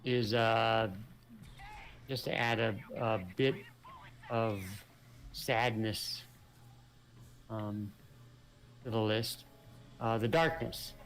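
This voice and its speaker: A man's voice: on the low side, fairly even intonation, extremely slow, pauses often, a bit bright, low-quality recording. A man co-hosting a gaming video in casual chat, rambling as he thinks aloud and repeats his phrases.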